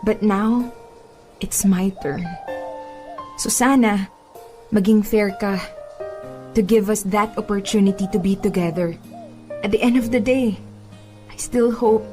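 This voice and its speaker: A woman with a Filipino accent playing a sincere, steadfast young girlfriend in a radio drama, speaking in a measured, earnest way. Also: mid-range voice, steady tone, darkish tone, lightly acted. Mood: sorry but resolute. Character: sincere, steadfast young new girlfriend standing her ground. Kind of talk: radio drama acting in mixed Tagalog and English. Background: music.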